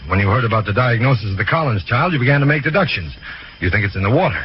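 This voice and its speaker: An actor playing an earnest, dedicated doctor, brisk and probing, in the style of 1940s radio drama. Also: male voice, medium pitch, expressive, a little hiss or hum, lightly acted. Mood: firm, urgent. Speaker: actor, lead and first-person narrator. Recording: dull old radio recording with loud music.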